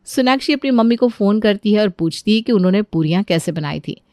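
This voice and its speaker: A woman's voice: medium pitch, expressive, quick pace, warm tone, no room sound, clean studio sound. A woman narrating a scripted Hindi cartoon story.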